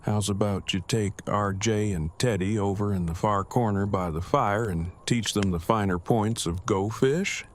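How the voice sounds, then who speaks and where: Man acting a low-voiced, stiffly formal brainy father. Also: natural voice. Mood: gentle. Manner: formal, wordy, deliberate, precise. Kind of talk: audio drama acting.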